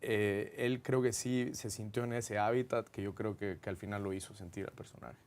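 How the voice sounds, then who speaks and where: A man's voice: on the low side, fairly even intonation, moderate pace, darkish tone. A man from Guatemala with a Guatemalan accent, a film director answering interview questions in an earnest, reflective way with frequent hesitations. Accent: Guatemalan Spanish.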